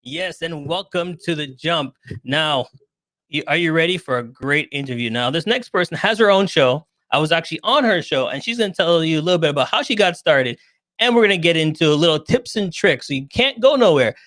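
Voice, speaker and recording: A man's voice: a little high, animated, fairly quick, a bit bright, dry recording. A man hosting an interview show, hyping it up with an emphatic, loose, casual way of talking. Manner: hype-style, emphatic, loose grammar. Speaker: show host. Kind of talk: enthusiastic hosting, casual chat.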